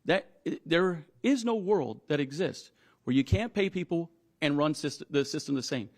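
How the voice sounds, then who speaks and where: A man speaking as a union leader at a press conference, emphatic and deliberate, repeating phrases for stress. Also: on the high side, lively intonation, a little mellow. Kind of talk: press conference statements and answers.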